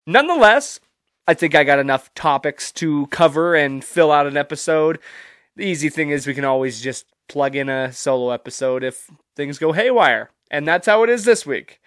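A man's voice: fairly high pitch, lively intonation, normal speed, fairly bright tone, no room sound, studio quality. A young man hosting a solo podcast, stuffy and congested from allergies, talking casually and rambling with loose, profane humor. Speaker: podcast host.

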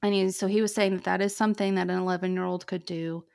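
A woman hosting a podcast, telling a true ghost story in a chatty way, with many "like"s and "um"s. She has a fairly deep voice. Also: little pitch movement, fast talker, vocal fry, a little mellow, smooth. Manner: chatty, lots of "like" and "um". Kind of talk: casual storytelling chat.

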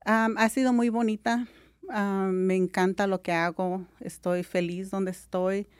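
A middle-aged woman with a Mexican accent, a nurse talking as a guest in a relaxed interview, often filling pauses with "am" and dropping in English words. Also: mid-range voice, clear, resonant tone, dry recording, studio quality. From Mexico (Jalisco). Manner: relaxed, many "am" fillers, mixes English words. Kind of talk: casual interview.

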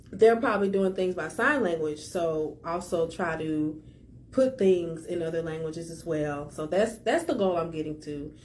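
A woman's voice: low-pitched, darkish tone, slight background noise. A woman hosting a casual podcast chat, speaking in an enthusiastic, chatty way and laughing easily. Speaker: podcast host.

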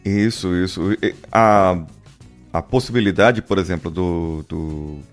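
A man's voice: sits low, fairly even intonation, slow, soft background music. A man with a Brazilian Portuguese accent, a podcaster leading a casual conversation and putting questions to his co-hosts. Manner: conversational, asks questions to the others.

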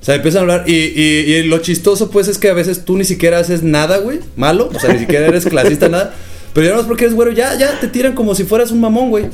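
A young Mexican man with a Guadalajara accent talks in a rapid, slang-heavy way with plenty of swearing. His voice sits a bit on the high side. Faint music plays behind him. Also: a little mellow. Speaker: podcast co-host. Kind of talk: casual podcast chat.

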